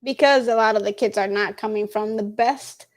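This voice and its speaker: A woman's voice: medium pitch, steady tone, normal speed. A woman co-hosting a casual livestream chat, often echoing and finishing her partner's phrases. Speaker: co-host.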